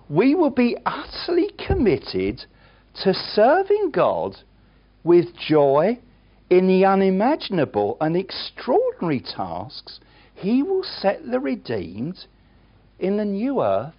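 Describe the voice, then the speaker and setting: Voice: mid-range voice, highs cut off. A preacher delivering a sermon, emphatic and earnest, with chatty, self-deprecating asides to the congregation.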